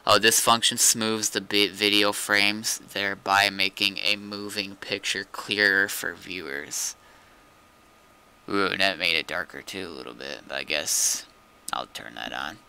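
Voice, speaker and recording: A man's voice: sits low, measured pace, gravelly, sharp tone, clean tone, rough recording. A man chatting casually and muttering to himself as he plays a game on stream. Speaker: streamer playing a zombie survival game. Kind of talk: casual gaming chat.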